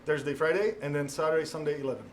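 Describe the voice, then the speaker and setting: A man's voice: a little high, noisy background. A middle-aged man from the Czech Republic with a Czech accent, a restaurant owner talking casually in an interview with many fillers. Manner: halting, many fillers, laughs easily.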